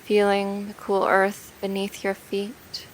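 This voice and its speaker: A woman narrating a guided meditation in a gentle, soothing, unhurried way, leaving long pauses between phrases. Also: medium pitch, completely flat delivery, a little hiss or hum, low-quality recording.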